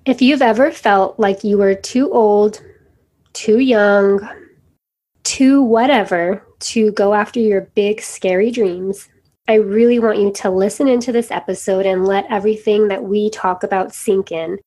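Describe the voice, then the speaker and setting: A woman's voice: medium pitch, fairly even intonation, unhurried, dark tone. Enthusiastic woman podcast host. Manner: enthusiastic, encouraging. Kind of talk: upbeat podcast interview.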